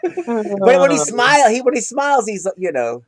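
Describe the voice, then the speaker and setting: A man's voice: extremely high pitch, big pitch swings, normal speed, a crowd in the background. A man co-hosting a casual livestream chat, joking, laughing a lot and acting out quotes. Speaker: livestream co-host.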